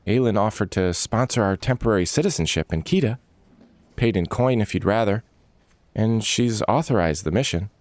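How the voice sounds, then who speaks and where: A man playing a steady, serious male leader of an adventuring band in a fantasy story. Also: normal speed, dry recording, natural voice. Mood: serious, guarded. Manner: low-key, steady, serious.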